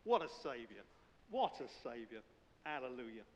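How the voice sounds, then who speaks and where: A man's voice: mid-range voice, big pitch swings, moderate pace. A man, a church elder, praying and preaching earnestly in short emphatic phrases during a service. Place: indoor church service, speaking from the platform. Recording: muffled, long reverb of a church hall.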